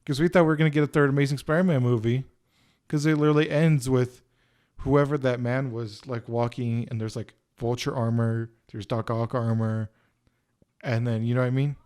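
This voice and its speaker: A man's voice: medium pitch, steady tone, fairly quick, darkish tone, smooth. A man in his twenties co-hosting a podcast, rambling casually and filling his talk with "like" and "you know". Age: 20s. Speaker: podcast co-host. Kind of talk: casual film review chat.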